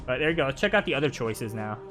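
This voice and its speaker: A man's voice: mid-range voice, expressive, fast, over music. A man giving casual, playful live commentary on a video game. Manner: playful, hams up cutscene dialogue.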